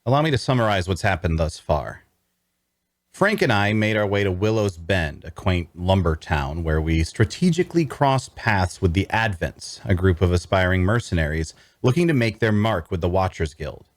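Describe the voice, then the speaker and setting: A man's voice: deep voice, lively intonation, moderate pace, dry recording. An actor playing a sardonic necromancer antihero, narrating his own quest conversationally with dry humor and rhetorical asides. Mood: wry, conspiratorial. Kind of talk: first-person dramatic narration at a script table read.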